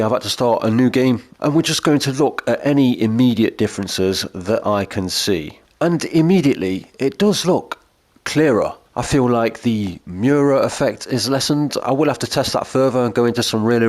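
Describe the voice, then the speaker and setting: A man's voice: medium pitch, lively intonation, moderate pace, darkish tone. A British man with a cold-congested voice, giving chatty, enthusiastic gameplay commentary. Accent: British English. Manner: enthusiastic, chatty, gushing over detail. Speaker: gaming video creator.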